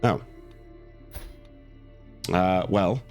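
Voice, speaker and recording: A man with a cold talks through a strategy game in a lowish, stuffy voice. He keeps it easygoing and leans on plenty of "uh"s. Faint music plays underneath. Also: very slow, darkish tone. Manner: easygoing, with many "uh" and "um" fillers. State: sick with a cold. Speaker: let's play host. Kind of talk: gameplay commentary.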